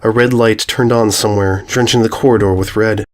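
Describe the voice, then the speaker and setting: Male narrator, lowish pitch, scripted horror storytelling, prose reading. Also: steady tone, a little fast, darkish tone.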